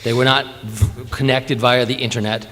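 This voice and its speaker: A man's voice: mid-range voice, level tone, moderate pace, pushed and bright. A man speaking as a panelist at an industry conference, making firm, opinionated points. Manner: assertive, opinionated. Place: conference hall. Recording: reverberant conference hall.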